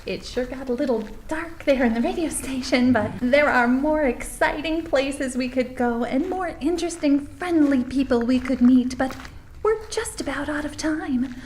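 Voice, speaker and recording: Woman doing an eager small town tour guide, high chirpy voice, comedy mockumentary, faint background noise. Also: normal speed, a little mellow, close to an everyday voice. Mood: upbeat, promotional. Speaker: documentary presenter. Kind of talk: comic mockumentary tour narration.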